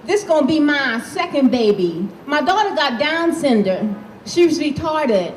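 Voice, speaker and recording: A woman's voice: high-pitched, big pitch swings, a little slow, pitched above the performer's own voice. A woman reading aloud in character as a blunt, defiant sixteen-year-old girl from Harlem, with a streetwise, profane way of talking. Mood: matter-of-fact. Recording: echoey room with faint noise.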